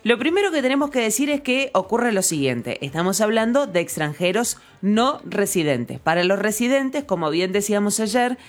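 A woman with a Mendoza Argentine accent hosting a radio talk show, explaining briskly with jokes. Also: medium pitch, big pitch swings. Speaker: radio host.

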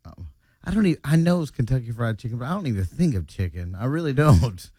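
A man's voice: mid-range voice, expressive, fast talker, dark tone, slightly rough, dry recording, studio quality. A man, hosting a morning radio show in casual, teasing banter and often stumbling over his words. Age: 40s.

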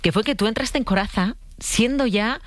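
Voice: mid-range voice, normal speed, no room sound. Curious interviewer asking follow-up questions. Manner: curious and engaged, asks layered follow-up questions.

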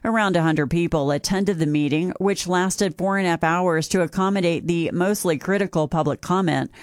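Female radio reporter, lowish voice, dry booth, clear even read. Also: moderate pace, dry recording. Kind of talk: scripted news report. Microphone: studio mic.

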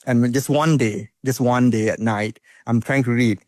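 A man's voice: mid-range voice, moderate pace, no echo, studio quality. Self-deprecating man in his forties. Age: 40s. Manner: animated, self-deprecating storyteller. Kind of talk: casual chat.

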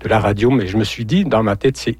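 A man's voice: on the low side, fast, darkish tone, husky, no room sound. Hesitant man from Algeria, dry sound. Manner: hesitant, many fillers and repeated words. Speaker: radio journalist, guest. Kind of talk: radio interview.

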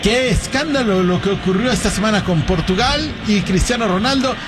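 High-voiced man analyzing football. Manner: conversational, halting, with fillers and restarts. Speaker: color commentator. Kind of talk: football analysis on a live broadcast. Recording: broadcast with noisy background.

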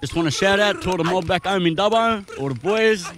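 Easygoing high-voiced dancer. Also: male voice, animated, fairly quick, noisy background. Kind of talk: casual shout-out talk.

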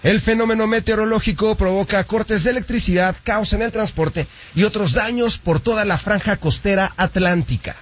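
High-voiced male radio co-host. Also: moderate pace. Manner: easygoing, laughs along, formal in headlines. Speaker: radio co-host, sports presenter. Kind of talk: morning radio chat and news reading. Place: radio studio.

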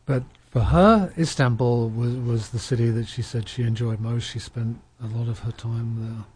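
A man interviewing guests in a relaxed chat, often repeating words and restarting his sentences. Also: medium pitch, normal speed, husky. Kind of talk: casual conversational interview.

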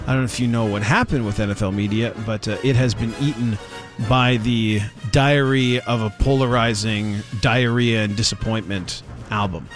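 A man's voice: on the low side, fairly even intonation, moderate pace. Sports radio host type, man, sarcastic and rambling, faint music underneath. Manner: rambling, sarcastic, many fillers. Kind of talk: casual sports talk radio.

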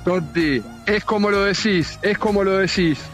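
A man reporting from outside a stadium for a radio sports show, steady and informative. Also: sits high, moderate pace, soft background music. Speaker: field reporter.

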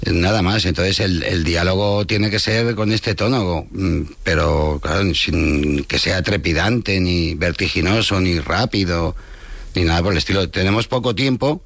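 A middle-aged man talks in a studio at an unhurried, reflective pace. His voice sits low. The room sounds dry and close. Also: vocal fry, a bit bright, no echo. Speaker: comedy show host. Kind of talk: radio conversation. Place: radio studio.

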